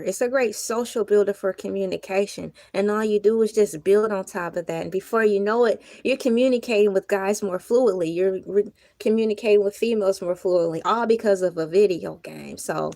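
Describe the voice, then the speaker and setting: A woman hosting a casual livestream, talking earnestly to her viewers with a slightly hoarse voice. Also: medium pitch, moderate pace, mellow, no room sound. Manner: chatty, earnest, reflective, laughs often. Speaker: livestream host.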